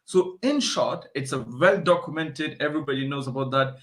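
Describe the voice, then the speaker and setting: A man's voice: fairly high pitch, a little fast, a bit bright. A man from Afghanistan with an Afghan accent, speaking as an expert guest in a conversational interview, explaining and telling stories with emphatic repetition.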